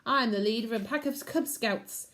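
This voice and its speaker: A woman voicing a cheerful, polite male scout pack leader in a storybook, high and chirpy. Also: normal speed, a bit bright, close to an everyday voice. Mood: friendly.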